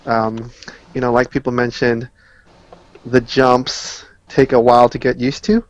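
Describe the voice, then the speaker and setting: Medium-pitched man chatting as a guest. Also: level tone, measured pace, low-quality recording. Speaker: podcast guest. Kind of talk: casual chat.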